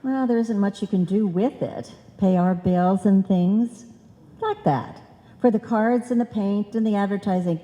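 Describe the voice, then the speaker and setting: In a reverberant hall, an actress plays a dizzy, bossy wife from old-time radio comedy. Her voice is soft-edged and takes its time. She delivers muddled logic and wrong words with total confidence. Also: medium pitch, measured pace, lightly acted. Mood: breezy, scheming. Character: scatterbrained, bossy married woman hatching a money-making scheme. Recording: live hall recording, long reverb.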